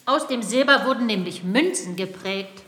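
A woman voicing a chattering, know-it-all mountain sprite in a children's audio drama, excitable and evasive. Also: medium pitch, normal speed, close to an everyday voice. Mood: excited, boastful. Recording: voice in a long hall reverb.

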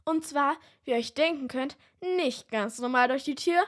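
A woman's voice: high voice, normal speed, close to an everyday voice. A woman playing an inquisitive show host in a children's radio play, presenting and asking questions. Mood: welcoming.

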